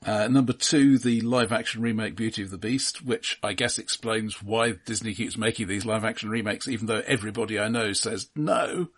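Guy on a film podcast, kinda low n husky, dry delivery. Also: moderate pace, few pauses, fairly bright tone. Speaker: podcast co-host. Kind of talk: film discussion, reading out awards and box office lists.

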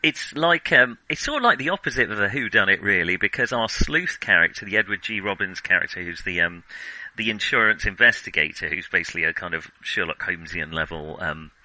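A man with a lowish, very bright and forward voice, recorded close and dry with no room around him. He talks through a film in casual podcast chat and keeps pausing on ums and restarting his sentences. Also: expressive, no room sound, rough recording. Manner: hesitant, many ums and restarts. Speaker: podcast co-host.

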